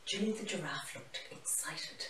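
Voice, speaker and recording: Female storyteller, 60s. Expressive children's reading, lowish pitch, mic some distance away indoors. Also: unhurried, echoey room, a little hiss or hum. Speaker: storybook reader. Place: living room, indoors. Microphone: camera across the room.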